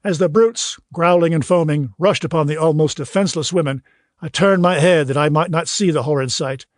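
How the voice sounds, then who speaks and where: A man reading scripted audiobook narration in a measured, literary storytelling manner. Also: on the high side, no room sound, studio quality.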